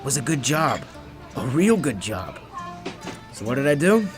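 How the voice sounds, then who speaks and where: An actor playing a nervous, hapless failed comedian in a radio drama, hesitant and stammering. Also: male voice, medium pitch, big pitch swings, a little slow. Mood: rueful. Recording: prominent music bed behind voice.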